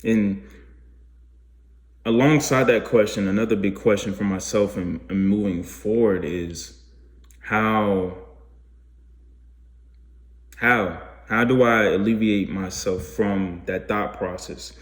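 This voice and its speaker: A man's voice: on the low side, fairly even intonation, slow pace, long pauses, reverberant. A man in his twenties talking through his life decisions in a rambling, self-questioning monologue, restarting his sentences often. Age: 20s. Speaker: vlogger. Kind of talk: reflective casual monologue.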